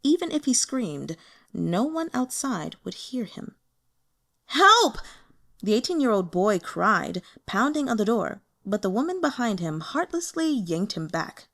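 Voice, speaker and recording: A woman reading a fantasy novel aloud as an audiobook narrator, in an expressive scripted style. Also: mid-range voice, moderate pace.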